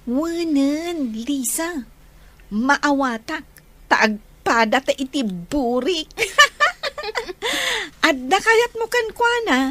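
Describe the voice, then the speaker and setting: An inquisitive young woman in a radio drama, with a very high, sharp, bright voice. She takes her time as she questions and teases her friend. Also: animated, very slow, long pauses, slight background noise, exaggerated voice. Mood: teasing. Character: inquisitive, teasing young female confidante.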